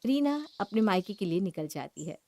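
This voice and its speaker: A woman's voice: mid-range voice, sing-song, normal speed, a little mellow. A woman narrator reading a scripted family story.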